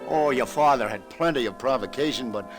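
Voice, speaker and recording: A man's voice: medium pitch, fairly quick, over music, natural voice. A man playing a world-weary American police lieutenant. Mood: gentle, regretful. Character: world-weary, sympathetic male New York police lieutenant. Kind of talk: old-time radio detective drama acting.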